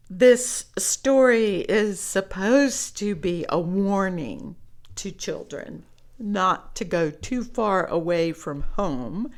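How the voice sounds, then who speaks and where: A woman reading stories aloud to children in an expressive, conversational way, as if talking directly to young listeners. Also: medium pitch. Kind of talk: read-aloud storytelling with chatty commentary.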